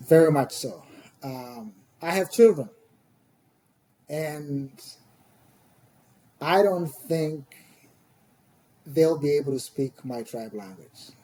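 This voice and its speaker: A middle-aged Tanzanian-accented man gives an interview in his second language. His voice sits a little high for a man, yet it is soft-edged, mellow and husky. He explains things thoughtfully, pausing and repeating words as he searches for the next one. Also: low-quality recording. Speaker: podcast guest, scholar.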